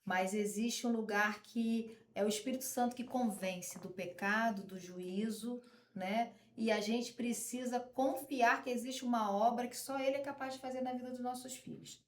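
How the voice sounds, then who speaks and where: Woman guest, faith-filled parenting advice, emphatic, slightly high voice, echoey room. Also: steady tone, normal speed. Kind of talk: conversational advice.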